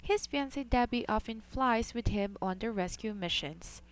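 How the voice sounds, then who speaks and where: A woman with a somewhat high voice reads a scripted news feature. She keeps a measured, even news delivery throughout. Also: clean tone. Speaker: news reporter.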